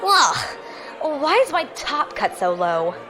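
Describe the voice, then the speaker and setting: Wry, defiant young woman with lively pitch. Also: takes it slowly, music in the background, exaggerated voice. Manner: wry, guarded. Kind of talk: audio drama acting.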